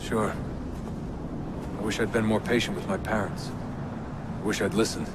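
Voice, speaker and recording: An actor playing a regretful, defensive young assassin in a video game, speaking in a terse, subdued way. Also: male voice, on the low side, steady tone, normal speed, lightly acted. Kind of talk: video game drama dialogue. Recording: very noisy game ambience.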